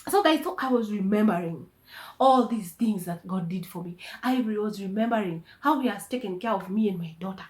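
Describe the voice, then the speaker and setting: A woman, giving an earnest, emotional personal testimony on her channel, often halting and repeating words. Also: mid-range voice, a little mellow. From Tanzania. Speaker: vlogger.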